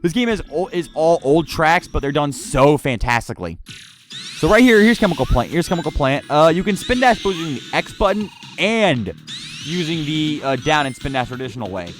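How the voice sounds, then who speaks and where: A man from the United States reviewing a video game in casual, rambling, halting, sweary unscripted commentary. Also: fairly high pitch, sing-song. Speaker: gaming reviewer. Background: game music.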